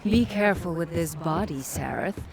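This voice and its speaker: An actress playing a seductive dark companion in a fantasy game, sultry, sly and teasingly sardonic. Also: female voice, fairly low pitch, moderate pace, heavy background noise, natural voice. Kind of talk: dramatic fantasy game acting.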